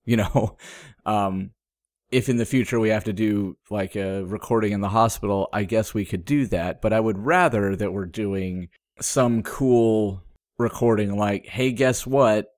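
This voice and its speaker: A man's voice: on the low side, a little slow, darkish tone, dry recording, clean studio sound. A man co-hosting a podcast, a little stuffed up and under the weather, talking thoughtfully with fillers and small laughs. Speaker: podcast co-host. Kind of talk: casual chat.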